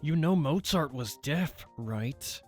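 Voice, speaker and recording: A man's voice: fairly high pitch, expressive, takes it slowly, faint music behind. A man co-hosting a casual let's play, rambling and swearing as he plays. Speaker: co-host, gamer.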